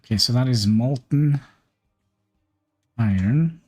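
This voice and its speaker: A man's voice: medium pitch, dark tone, soft background music. Man thinking aloud over gameplay. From Norway. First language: Norwegian. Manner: thinking aloud, halting with fillers. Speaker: streamer. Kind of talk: live gameplay commentary.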